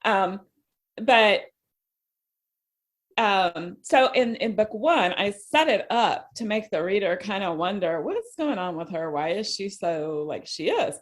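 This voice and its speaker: A woman author chatting as a podcast guest in a casual, halting way, thinking aloud as she goes. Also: medium pitch, lively intonation. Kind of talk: casual interview chat.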